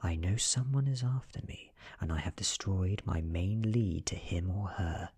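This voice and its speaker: A man with a British accent giving a soft-spoken, near-whispered ASMR reading of a horror story, close to the microphone. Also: low-pitched, unhurried, slightly rough. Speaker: narrator.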